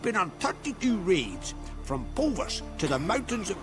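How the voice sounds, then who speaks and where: A man playing a hardened, blunt village raider in a video game, creaky and brusque. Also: high voice, normal speed, over music. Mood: grim, grudging. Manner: animated, brusque. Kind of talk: video game character acting.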